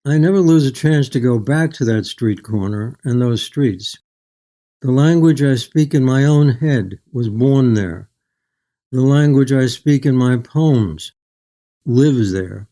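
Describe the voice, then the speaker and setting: An older man speaking as a guest author in a reflective literary interview, measured, thoughtful and articulate. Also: medium pitch, warm tone.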